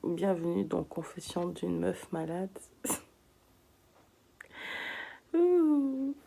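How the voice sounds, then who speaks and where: A woman's voice: on the low side, sing-song, drawn-out words, creaky, loud music behind the voice. A woman vlogging from her bed while sick and in pain, talking casually to her viewers, tired and sometimes dropping to a whisper. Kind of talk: casual vlog talk to camera. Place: at home in bed.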